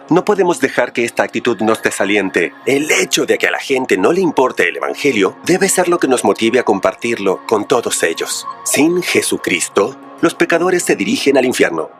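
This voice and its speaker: A man's voice: medium pitch, a bit bright. A man narrating an evangelism video in an earnest preaching tone and interviewing people on the street.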